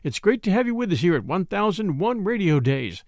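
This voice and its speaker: A man's voice: high voice, very animated, brisk, darkish tone, no room sound, clean studio sound. A man introducing a show as its podcast host.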